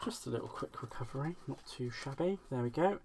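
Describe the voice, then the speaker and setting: A man's voice: mid-range voice, expressive, brisk, a little mellow, breathy edge. Chatty West Country man crafting live. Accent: West Country English. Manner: chatty, self-deprecating, laughs often. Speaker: craft livestream host. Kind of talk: casual live-stream chat while crafting.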